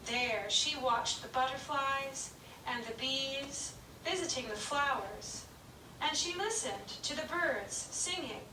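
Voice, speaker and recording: A woman narrating a children's puppet show story in a slow, gentle, clearly paced reading. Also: high-pitched, a little hiss or hum. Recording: echoey room, distant mic.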